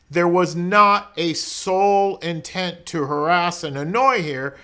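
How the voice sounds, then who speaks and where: A man's voice: fairly high pitch, fairly bright tone. A man speaking as a lawyer in an informal explainer, conversational and colloquial, often posing rhetorical questions. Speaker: criminal defense lawyer explaining law. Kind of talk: informal explainer talk to camera.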